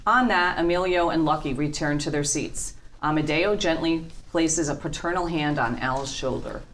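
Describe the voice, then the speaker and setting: A woman with a low voice reads stage directions at a script read-through. The delivery is scripted narration, with faint room noise behind it. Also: moderate pace.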